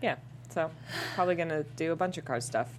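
Low-voiced chatty woman host. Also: fast talker, a little hiss or hum. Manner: chatty, giggly, lots of "like". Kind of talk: casual news chat.

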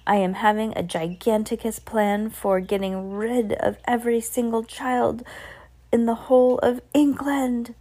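Storybook villain: a woman as a commanding, hateful witch queen, heavy slow thunder in every phrase. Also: a little high, level tone, warm tone, pitched above the performer's own voice. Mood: scheming, commanding. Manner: thundering, slow, emphatic delivery. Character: imperious hateful female Grand High Witch.

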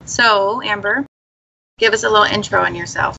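A woman hosting a podcast, enthusiastic and effusive in her praise of her guest, with a gravelly voice. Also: medium pitch, moderate pace, sharp tone, low-quality recording. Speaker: podcast host. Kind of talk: podcast interview.

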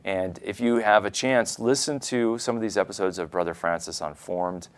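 A man's voice: sits low, steady tone, moderate pace. A man hosting a conversational interview, speaking earnestly and often restarting his phrases. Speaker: show host.

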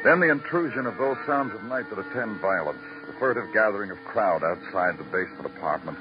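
Male actor for world-weary noir detective, low register, terse and moody, dull vintage radio sound. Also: animated, fast, over loud music, natural voice. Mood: brooding. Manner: terse, moody, poetic delivery. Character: world-weary, brooding male New York police detective narrating his case. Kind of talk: 1940s-50s radio noir narration and acting. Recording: muffled vintage radio broadcast.

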